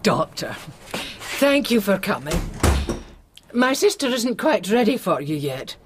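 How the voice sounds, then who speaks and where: An actress playing a tart, brusque, eccentric Scottish spinster in a radio drama, with a huffy, clipped manner. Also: female voice, medium pitch, big pitch swings, a little slow, background noise. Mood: tart, dismissive.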